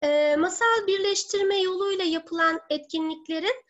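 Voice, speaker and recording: A woman in her thirties giving an online seminar as a teacher, speaking deliberately with halting, drawn-out words. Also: extremely high pitch, a bit bright. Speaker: teacher presenting a webinar. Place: living room at home. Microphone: laptop or webcam mic on video call. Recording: dull lo-fi webcam call audio.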